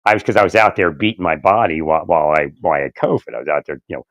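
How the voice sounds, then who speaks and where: A man with a slightly hoarse, raspy voice talking in a rambling, halting way, repeating words, chuckling often and asking "right?" as he speaks into his phone outdoors. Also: extremely low pitch, dry recording. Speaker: vlogger. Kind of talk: rambling casual monologue. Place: outdoors in winter.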